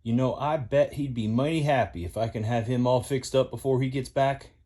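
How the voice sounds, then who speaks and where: A man's voice: medium pitch, fairly quick, warm tone, lightly acted. A man voicing a folksy old male tow truck with a twangy country drawl.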